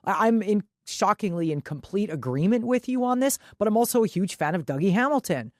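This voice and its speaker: A woman co-hosting a podcast with casual, opinionated sports talk, blunt and indignant in manner and quick to swear. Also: medium pitch, lively intonation, darkish tone, no echo, clean studio sound. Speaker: podcast co-host.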